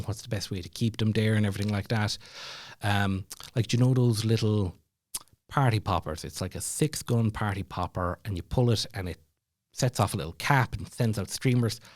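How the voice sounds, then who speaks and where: A man's voice: fairly low pitch, a little mellow, no echo, studio quality. An Irish man chatting casually as a podcast guest, telling stories in a rambling, self-deprecating way. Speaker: podcast guest, former comedy club MC. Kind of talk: casual storytelling chat.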